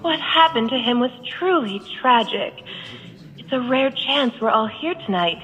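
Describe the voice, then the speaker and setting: A woman's voice: fairly high pitch, animated, unhurried, fairly bright tone, lo-fi sound. A woman playing a cruel, mocking noblewoman who taunts in a sneering tone, in a game cutscene. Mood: taunting. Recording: reverberant game audio with music.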